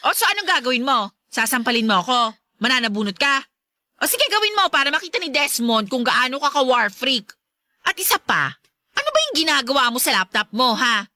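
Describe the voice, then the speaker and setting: Radio drama villainess: spiteful stepmother, sarcastic, very animated, sharp tone, dry close sound. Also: sits high, a little fast, no room sound, exaggerated voice. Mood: mocking. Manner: mocking, catty, melodramatic. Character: spiteful stepmother, sarcastic, taunting, playing innocent. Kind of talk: Tagalog radio drama acting.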